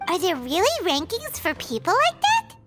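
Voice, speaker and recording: Squeaky tiny sprite girl, excitable, very high, bouncy pitch, music behind. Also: moderate pace, full character voice. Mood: teasing. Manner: chirpy, refers to herself in third person. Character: tiny excitable floating female companion sprite. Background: background music.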